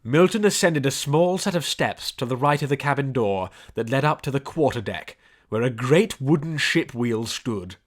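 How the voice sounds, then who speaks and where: A man reading scripted story narration in a measured storybook delivery. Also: medium pitch, lively intonation. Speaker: audiobook narrator.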